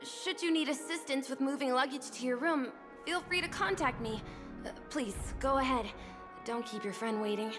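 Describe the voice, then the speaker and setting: A woman's voice: high voice, moderate pace, natural voice. A woman playing a courteous, earnest, slightly hesitant young hotel bellboy in a video game. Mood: polite, welcoming. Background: game music.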